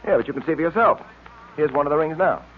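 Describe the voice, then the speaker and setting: A man playing a hearty, friendly detective hero with a husky voice, briskly addressing children on an old radio show. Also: on the high side, lively intonation, slight background noise, natural voice. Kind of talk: 1940s radio advertising pitch in character. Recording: tinny old radio recording.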